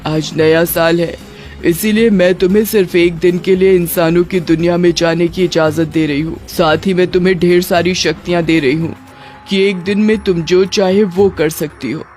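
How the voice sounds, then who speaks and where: A woman voicing a commanding but merciful witch queen in a storybook tale, measured and authoritative. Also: on the low side, a little mellow, faint music behind, close to an everyday voice. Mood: stern, granting.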